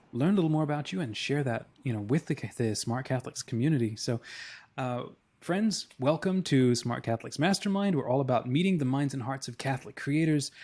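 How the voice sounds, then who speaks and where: A man's voice: mid-range voice, a little mellow. A man hosting an interview show, introducing his guest in a conversational way.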